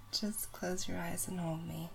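A woman whispering softly and tenderly in an intimate audio roleplay, coaxing and gentle, with music behind her. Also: on the low side, vocal fry, slight background noise, rough recording.

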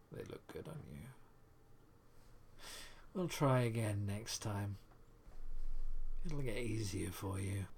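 A man performing an intimate audio roleplay in a soft, breathy voice that often drops to a whisper, with murmurs, sighs and reassurances. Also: lowish voice, slight background noise.

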